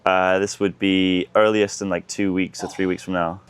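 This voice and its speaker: A man's voice: low-pitched, little pitch movement, normal speed, smooth. A man hosting a podcast, steering the chat with hesitant questions and restarts. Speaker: podcast host. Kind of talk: casual podcast conversation.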